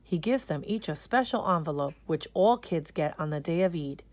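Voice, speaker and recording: A woman reading a children's picture book aloud as narrator, in a deliberate, expressive way. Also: low voice, no echo. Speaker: storybook reader.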